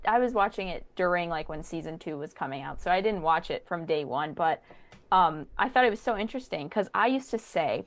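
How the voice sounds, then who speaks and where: A woman's voice: on the low side, fairly bright tone. A young woman in her late teens co-hosting a podcast, casually sharing personal anecdotes. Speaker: podcast co-host.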